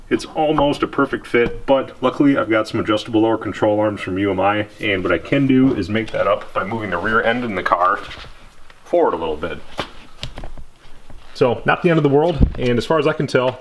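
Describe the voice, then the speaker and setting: A man explaining hands-on car repair in a casual, conversational way, as a vlogger in his garage. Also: fairly low pitch. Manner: informal, conversational. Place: indoor garage workshop. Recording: noisy garage with background hum.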